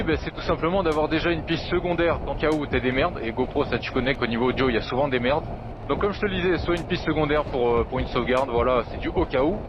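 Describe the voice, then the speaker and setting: A man with a slightly high-pitched voice talks quickly and informally, as in a casual motovlog review. He uses slang and the odd swear word. He speaks into a helmet intercom mic outdoors, with clear road and vehicle noise behind him. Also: muffled recording. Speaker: motorcycle vlogger reviewing gear. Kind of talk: casual spoken review while riding. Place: outdoors, riding a motorcycle in traffic. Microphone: helmet intercom microphone.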